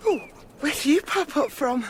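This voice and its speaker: High, breezy young countrywoman. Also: expressive, moderate pace, noisy background. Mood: breezy, teasing. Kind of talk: radio drama acting.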